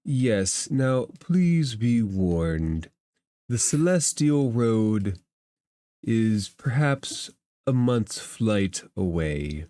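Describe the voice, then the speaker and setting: A man voicing a courteous merchant creature in a tabletop game, speaking in a measured, formal, deliberate way. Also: fairly low pitch, very animated, mellow, no echo, exaggerated voice. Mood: solemn, courteous. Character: slow, courteous merkin merchant creature giving warnings.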